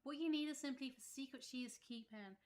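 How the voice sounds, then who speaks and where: A woman's voice: high-pitched, brisk. A woman streaming a video game live, reading dialogue aloud and reacting to it casually. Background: faint game music.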